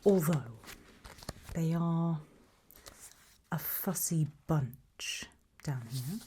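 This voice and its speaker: A woman voicing a sly, mocking demon in an ASMR roleplay, with a British accent and a breathy, husky voice that often drops to a whisper. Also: low-pitched, lively intonation, dark tone, a little hiss or hum, heavily acted. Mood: smug, sly. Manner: teasing, condescending, unhurried. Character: sly, mocking female demon who trades in purchased souls.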